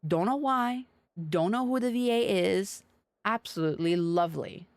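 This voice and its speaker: A woman's voice: medium pitch, moderate pace, no echo. A woman livestreaming a game with casual, playful, sweary commentary.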